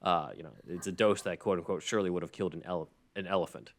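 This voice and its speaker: A man's voice: low voice, steady tone, very fast. A man hosting a podcast in casual conversation, often chuckling as he talks. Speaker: podcast host. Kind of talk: casual chat with read-aloud summary.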